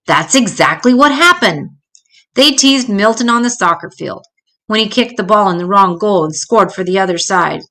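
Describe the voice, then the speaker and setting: A woman reading a children's book aloud in an expressive storytelling style, shifting her pitch to act out dialogue. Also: moderate pace. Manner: acts out dialogue with changing pitch.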